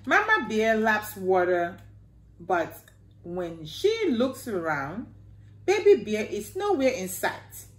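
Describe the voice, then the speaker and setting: A middle-aged woman reading a children's picture book aloud as storytime host, with deliberate, expressive phrasing. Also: medium pitch. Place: small living room by staircase, indoors. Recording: roomy home echo.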